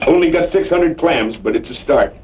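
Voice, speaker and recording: An actor playing a cheerful, sly cowboy in an old western film, talking in an easygoing folksy way. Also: male voice, on the high side, lively intonation, moderate pace, darkish tone, close to an everyday voice. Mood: pleased, sly. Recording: distant, faintly noisy old film soundtrack.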